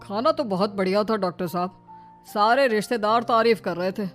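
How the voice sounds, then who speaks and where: A woman's voice: mid-range voice, normal speed, faint music behind, voice pushed down, lightly acted. A woman voicing an older father-in-law with a mild, easygoing, conciliatory manner.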